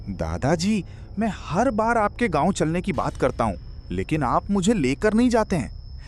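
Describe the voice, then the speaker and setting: A man's voice: fairly high pitch, darkish tone. A man playing an eager young village grandson, telling his story in an earnest, simple way. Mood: pleading. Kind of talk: first-person story narration and dialogue.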